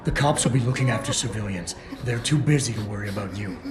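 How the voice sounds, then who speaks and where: An actor playing a stoic, unflappable security operative in a video game, with terse, deadpan delivery. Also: male voice, lowish voice, quick pace, background noise, lightly acted. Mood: firm, reasoning. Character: stoic, hard-boiled male security operative, dry and unflappable. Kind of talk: dramatic video game acting. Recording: roomy echo, loud music underneath.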